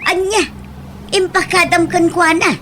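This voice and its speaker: An exasperated, nagging mother acted by a woman, high in pitch and Ilocano in accent. She scolds and exclaims in comedy radio drama style, over a noisy recording. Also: unhurried, a bit bright. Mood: startled.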